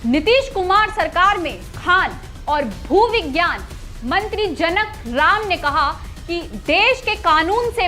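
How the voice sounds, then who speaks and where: A woman's voice: very high-pitched, sharp tone. A woman news anchor reading a scripted news report.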